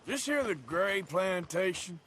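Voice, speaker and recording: A gruff Western drifter for a game, with a rural American accent. His tone is forceful and edgy, moving widely in pitch, and his delivery stays dry and laconic. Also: very animated, unhurried, slight background noise, exaggerated voice. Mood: polite, guarded. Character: gruff, wry drifter outlaw in his thirties. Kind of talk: dramatic video game dialogue.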